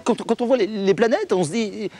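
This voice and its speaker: A man's voice: high-pitched, a little fast, warm tone, faint music behind. A man playing a neurotic, stammering intellectual who rambles anxiously and repeats words. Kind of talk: sketch comedy.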